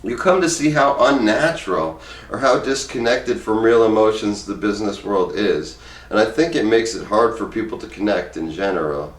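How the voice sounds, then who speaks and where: Echoey, low-voiced man reading aloud. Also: expressive, normal speed. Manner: informal, plain-spoken, reads both sides of interviews in own voice. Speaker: book reader, presenter. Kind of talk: casual read-aloud of book excerpts. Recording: roomy, echoey, distant microphone.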